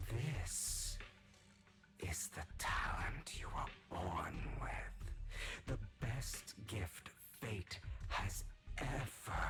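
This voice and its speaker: Dark tempter demon from a game, deep whispery voice, mocking and super slow. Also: male voice, heavily acted. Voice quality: breathy whisper. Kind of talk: video game villain acting. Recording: music behind the voice.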